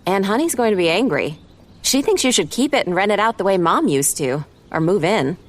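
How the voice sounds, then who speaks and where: Audio drama sister, female actor: stubborn, tense, guarded young mother, defiant delivery, very animated pitch. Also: a little fast, close to an everyday voice. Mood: guarded, needling.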